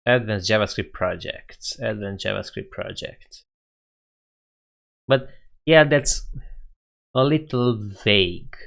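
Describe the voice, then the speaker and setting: A man's voice: fairly low pitch, lively intonation, takes it slowly, vocal fry, long pauses, a bit bright. A young man with a Romanian accent, casually chatting with viewers on a coding live stream, laughing often and thinking aloud.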